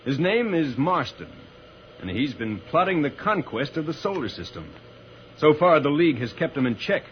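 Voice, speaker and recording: An actor playing a calm, heroic space commander in an old-time radio serial. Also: male voice, a little high, moderate pace. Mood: calm, explaining. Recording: noisy, muffled vintage radio recording.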